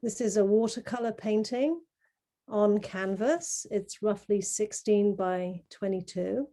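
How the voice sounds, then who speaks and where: A middle-aged woman from Kenya talking informally as an artist presenting their work on a video call, explaining in a measured, earnest way. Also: mid-range voice, dark tone. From grew up in Kenya. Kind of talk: informal talk presenting artwork to an online group.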